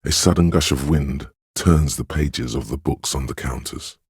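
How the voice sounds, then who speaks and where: A man voicing a grave, compassionate inner voice of empathy, narrating a game in a measured, intimate, solemn way. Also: darkish tone, no echo, studio quality, exaggerated voice. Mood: grave, perceptive. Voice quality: very deep, velvety, husky. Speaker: game voice actor. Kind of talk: scripted second-person game narration.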